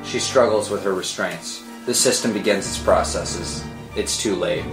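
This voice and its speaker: Male narrator for script read: dramatic delivery, deep register, roomy sound, score behind. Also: moderate pace. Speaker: narrator reading stage directions. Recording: roomy sound with music underneath.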